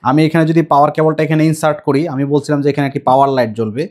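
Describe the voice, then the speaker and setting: A man's voice: on the high side, very fast, a little mellow. A man with a Bangladeshi accent presenting a product demonstration to viewers, explaining step by step and repeating his points. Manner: explanatory, repetitive. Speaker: shop presenter demonstrating intercom equipment.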